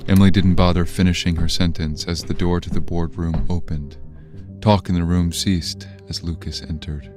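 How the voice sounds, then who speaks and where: An actor playing the narrator of a scripted audio drama about a corporate boardroom. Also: male voice, low-pitched, fairly even intonation, moderate pace, darkish tone, faint music behind, natural voice. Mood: measured. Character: male story narrator of a corporate horror drama.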